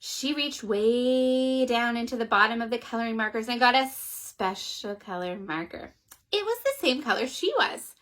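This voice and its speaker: A woman reads a children's story aloud with animated, expressive storytelling. Her voice is high and bright. It rises and falls in lively swings. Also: normal speed.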